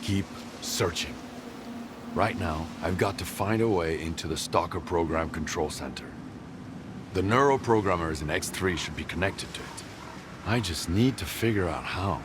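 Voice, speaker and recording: A man plays a grim, distrustful stalker loner in a game cutscene, his voice sitting very low as he speaks in a grave, guarded way. A clear layer of ambient noise runs under the game audio. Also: moderate pace, lightly acted. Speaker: video game character. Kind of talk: video game cutscene voice acting.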